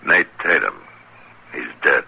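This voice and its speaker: An actor playing a steady, laconic Old West marshal with weary authority, in old-time radio western style. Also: male voice, very deep voice, slow, vocal fry, husky, dull audio, heavily acted. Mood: grim, businesslike. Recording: old radio recording with crowd behind.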